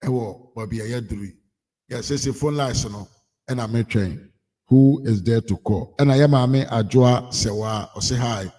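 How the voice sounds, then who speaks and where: A man's voice: medium pitch, measured pace, mellow. Ghanaian man reading viewer comments. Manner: reads out comments one after another, mixing Twi and English. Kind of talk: casual live-stream chat.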